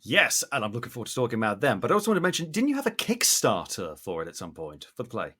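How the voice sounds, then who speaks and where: A man's voice: medium pitch, wildly swooping pitch, racing, pushed and bright, husky. A man hosting a casual interview podcast, self-deprecating and a little theatrical in how he talks.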